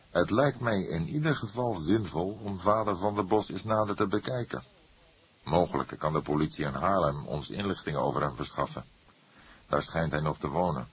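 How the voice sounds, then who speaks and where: A man voicing an old, shrewd police detective, measured and stern, in an audiobook. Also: extremely low pitch, breathy edge, heavily acted.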